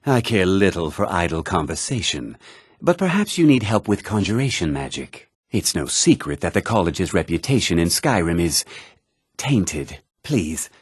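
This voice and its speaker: An actor voicing a learned, haughty mage scholar in a fantasy video game, speaking formally and with measured, precise diction. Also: male voice, deep voice, very animated, breathy edge, no room sound, clean studio sound, full character voice. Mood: reserved, cautioning. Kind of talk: scripted fantasy game dialogue.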